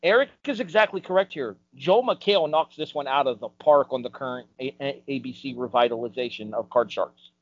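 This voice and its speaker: A man giving opinions as a podcast panelist, building his points emphatically and deliberately. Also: mid-range voice, no echo. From United States. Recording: dull, lo-fi close sound.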